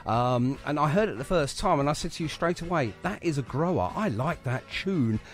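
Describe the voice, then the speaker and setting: A man's voice: on the high side, animated, normal speed. A man hosting a radio show in a chatty, effusive interview style. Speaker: radio host.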